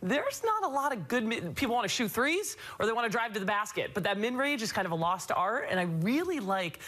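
A woman's voice: fairly high pitch, sing-song, a little fast, a bit bright. A woman in her forties, a former player giving enthusiastic, emphatic post-game analysis in a TV studio on a lapel mic.

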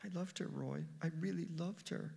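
A man's voice: on the high side, normal speed, gravelly, a little mellow, breathy edge, long reverb tail, voice pushed up, exaggerated voice. A man doing a tearful grieving woman.

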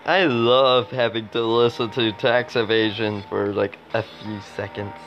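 A man giving casual, low-key live commentary as a gaming streamer, talking in fragments and mumbled asides. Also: little pitch movement, unhurried, over music. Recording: dull lo-fi audio, noisy background.

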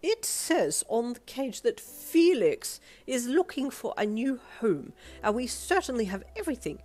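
Woman putting on a haughty, high-pitched entitled lady. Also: very animated, a little slow, a little mellow. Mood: smug. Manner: condescending, imperious. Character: haughty, sneering, entitled wealthy woman customer.

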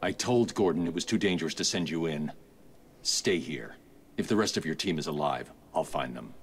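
An actor playing a grim masked vigilante in a game cutscene, clipped and commanding. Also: male voice, lively intonation, moderate pace, a little hiss or hum. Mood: stern. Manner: clipped, commanding, low menace. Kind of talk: video game cutscene acting.

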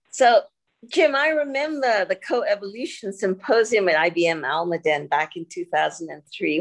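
An older woman from Singapore, giving a reflective presentation over a video call, pausing often with fillers. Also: fairly low pitch, creaky. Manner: reflective, halting with many fillers. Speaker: guest speaker.

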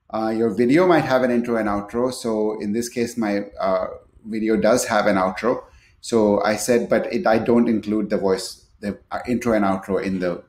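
A man presenting a screen-share software tutorial, explaining it step by step in a somewhat halting way. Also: fairly low pitch. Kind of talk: screen-share product tutorial, partly unscripted. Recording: echoey room.

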